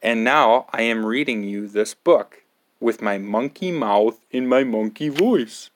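A man voicing a silly robot monkey in a children's storybook, with exaggerated, drawn-out nonsense sounds. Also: mid-range voice, natural voice. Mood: silly, boastful. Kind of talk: playful children's picture-book reading.

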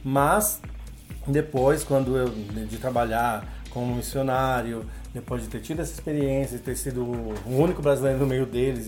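A middle-aged man from Brazil, a guest on a podcast, telling his story in a relaxed way with many filler tags. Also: medium pitch. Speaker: guest, English teacher. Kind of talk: casual podcast interview.

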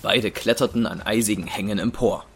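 A man's voice: on the low side, a little fast, a little hiss or hum. A man narrating a fantasy action story from a script in a dramatic storytelling style. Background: clear music.

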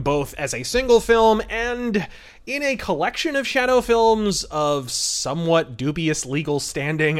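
A man hosting a podcast, giving film review and history commentary in a conversational, opinionated, wryly amused way. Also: a little high. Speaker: podcast host.

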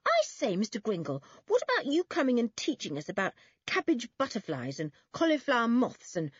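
A woman's voice: fairly high pitch, huge pitch swings, fairly quick, husky, dry recording, lo-fi sound, pitched above the performer's own voice, heavily acted. A woman voicing a stern, cheeky schoolboy who scolds and teases in a storybook reading. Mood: teasing. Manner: scolding, teasing.

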